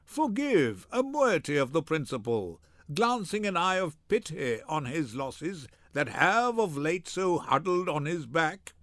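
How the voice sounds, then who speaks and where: Imperious Duke , acted by a man: raised pitch, grave formal appeal, classical stage verse. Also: sing-song, a little slow, few pauses, a bit bright, dry recording. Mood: solemn appeal. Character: grave, imperious male Duke presiding over court. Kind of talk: Shakespearean dramatic reading.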